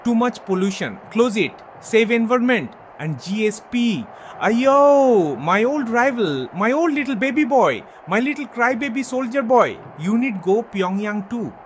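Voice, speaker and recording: A man's voice: big pitch swings, moderate pace, few pauses, a little hiss or hum. Man playing a brash wrestler with a Bangladeshi accent. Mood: taunting. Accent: Bangladeshi English. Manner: broken English, taunting, swings from yelling to low purring. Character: boastful male wrestler, self-proclaimed king, brash and crude. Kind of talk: wrestling promo acting.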